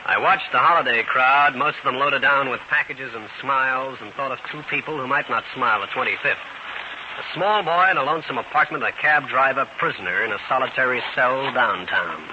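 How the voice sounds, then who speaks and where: An American actor playing a tough, brooding New York detective in an old-time radio drama, terse and world-weary. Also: male voice, medium pitch, fairly quick, lightly acted. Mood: brooding narration. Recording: dull, hissy old radio recording.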